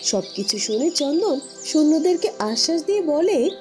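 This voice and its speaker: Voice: sits high, big pitch swings, moderate pace, soft background music. A narrator reading a scripted fairy tale for a cartoon in storybook style.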